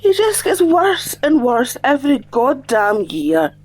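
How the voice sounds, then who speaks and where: A woman's voice: sits high, very animated, unhurried, a little mellow, slight background noise. An actress playing a blunt, exasperated, defiant woman who snaps back at townsfolk.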